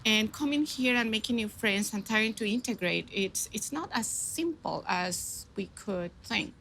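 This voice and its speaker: A woman's voice: on the high side, lively intonation, a little slow, fairly bright tone, slight background noise. A woman speaking earnestly in a documentary interview, often repeating words as she searches for them.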